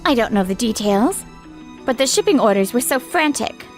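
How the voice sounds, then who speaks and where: A woman's voice: on the high side, animated, moderate pace, soft background music. Woman playing blunt, irritated warehouse manager. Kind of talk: manga dub acting.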